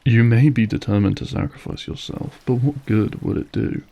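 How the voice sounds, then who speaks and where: Here is a suave vampire lord, acted by a man, with a dark, mellow voice that creaks at the edges. He toys with his captive in formal, mocking phrases, drawing out every threat with silky menace in a scripted audio drama. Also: medium pitch, moderate pace, lightly acted. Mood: cold persuasion. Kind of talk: scripted audio roleplay acting.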